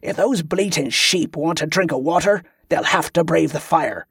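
A man's voice: fairly high pitch, moderate pace, fairly bright tone, breathy edge, dry recording. Fantasy audiobook, grim male warrior acted by a man, snarling, blunt, contemptuous, dry close sound.

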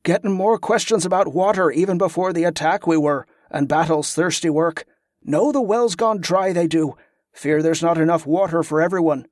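A man's voice: on the high side, moderate pace, dry recording, studio quality, natural voice. A man playing a grave, deferential male soldier who gives terse reports in inverted dialect phrasing, in a fantasy audiobook. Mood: uneasy.

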